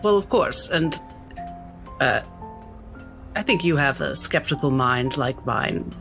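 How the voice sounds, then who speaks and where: A man's voice: a little high, expressive, slow pace, vocal fry, bright, forceful tone, faint music behind, heavily acted. A man playing a reserved, skeptical woman scholar, politely curious and hesitant.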